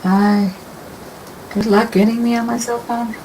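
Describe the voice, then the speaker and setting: A woman talking casually and thinking aloud as she paints, with a soft, rambling manner. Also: a little high, flat delivery, a little slow, a little hiss or hum, poor audio. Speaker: painting instructor. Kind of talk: casual painting demo talk.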